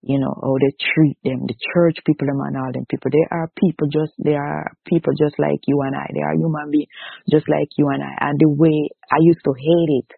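A man's voice: a little high, level tone, brisk, gravelly, breathy edge, no echo. A Jamaican-accented man from Jamaica, talking as an interview guest in a plain, earnest, repetitive way. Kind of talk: casual interview talk. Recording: tinny dry phone line.

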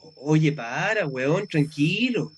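A man's voice: a little high, animated, slow, a little hiss or hum. An actor playing a worried, exasperated young man with a colloquial Chilean accent in a radio drama. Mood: alarmed, exasperated. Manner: colloquial, slangy. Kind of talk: naturalistic radio drama acting.

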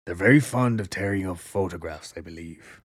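A man's voice: deep voice, fairly quick. A man with an Irish accent casually telling a story to a co-host, laughing often and swearing freely. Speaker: podcast co-host.

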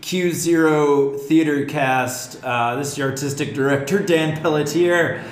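A man's voice: a little high, a little slow. A man hosting a podcast in a casual, conversational style. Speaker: podcast host and artistic director. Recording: echoey room, faint music.

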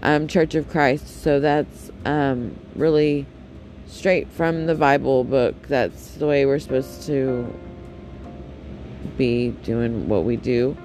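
A man with a deep, raspy voice, chatting casually and candidly on his solo podcast, with frequent ums and self-corrections. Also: slow pace, darkish tone, faint music behind. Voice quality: deep and raspy, especially in the morning. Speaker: podcast host.

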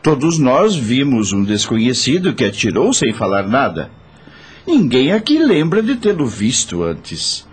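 An actor playing a baffled murdered spirit with a husky voice and a Brazilian accent in a radio drama. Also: male voice, mid-range voice, huge pitch swings, unhurried, full character voice. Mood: baffled, emphatic.

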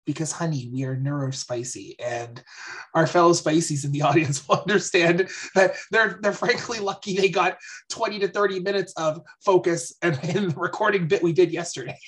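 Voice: mid-range voice, normal speed. A podcast co-host in casual chat, upbeat and teasing, laughing often.

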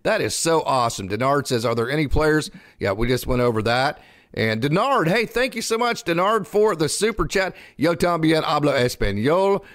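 A man's voice: a little high, expressive, moderate pace, fairly bright tone, no echo, studio quality. A bilingual man hosting a casual live sports show, effusive and emphatic as he addresses his viewers. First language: bilingual English and Spanish. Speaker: live show host reading viewer messages.

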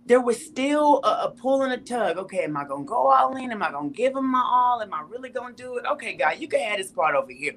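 A woman in her thirties telling her personal testimony in an interview, emphatic and repeating phrases for weight. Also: medium pitch. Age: 30s. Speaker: interview guest.

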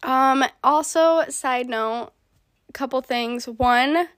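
A woman's voice: high-pitched, slow pace, a bit bright, no echo. Congested young woman podcast host. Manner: chatty, many ums, laughs often. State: sick, congested. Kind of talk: casual solo podcast chat.

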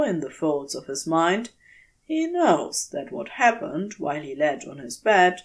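A woman narrating a scripted story as an audiobook reader, expressive and deliberate, with dramatic pauses. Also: lowish voice. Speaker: audiobook reader of fan fiction.

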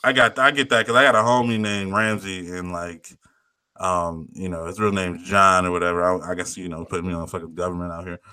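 A man's voice: sits low, little pitch movement, a little fast, bright, forceful tone, clear, resonant tone, dry recording, studio quality. A young man co-hosting a podcast, chatting in an easygoing, slangy, rambling way. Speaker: podcast co-host. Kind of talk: casual chat.

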